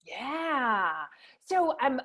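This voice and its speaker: A woman's voice: high-pitched, sing-song, drawn-out words, fairly bright tone. A woman hosting a podcast, moving between scripted introduction and interview questions. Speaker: podcast host.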